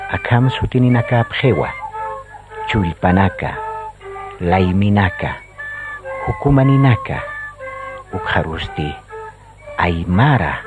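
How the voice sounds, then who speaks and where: A man reading scripted narration for a radio drama. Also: mid-range voice. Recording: dull, husky-sounding recording, faint music. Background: faint music.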